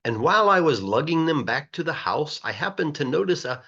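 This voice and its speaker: A man reading a children's rhyming story aloud in a playful, rhythmic, expressive way, like a teacher. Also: a little high, brisk, muffled recording. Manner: animated, playful, rhythmic verse delivery.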